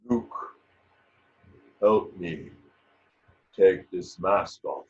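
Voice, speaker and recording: A man playing a dying elderly father, a redeemed villain, speaking weakly and haltingly in an amateur table read. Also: sits low. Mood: weak, pleading. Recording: dull video call audio.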